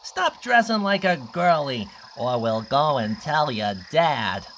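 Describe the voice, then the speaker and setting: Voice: medium pitch, very animated, slow pace, a little hiss or hum, pitched above the performer's own voice, heavily acted. A reader doing mocking, jeering hyenas. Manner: mocking, sneering. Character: mean jeering pack of hyenas. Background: soft music.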